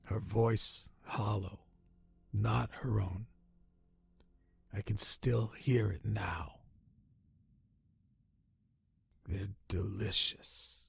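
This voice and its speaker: An actor playing a dying old castaway in a radio drama, haunted and horrified, telling his tale in halting, drawn-out phrases. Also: fairly low pitch, steady tone, creaky, breathy edge, heavily acted. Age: older.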